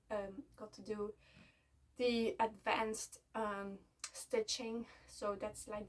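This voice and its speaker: A young woman from Belgium, a native Dutch speaker, chatting casually at home about books she is selling, pausing often with fillers and restarts. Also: mid-range voice, breathy edge. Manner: halting, many fillers and restarts. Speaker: book vlogger. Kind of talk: casual book unhaul chat. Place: at home, seated. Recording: somewhat distant mic, faint room noise.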